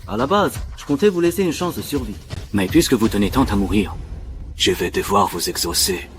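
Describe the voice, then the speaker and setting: A man voicing a cold, ruthless cat gang boss in a dubbed animated series, commanding and menacing. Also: lowish voice, fairly quick, slight background noise, lightly acted. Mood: cold, threatening.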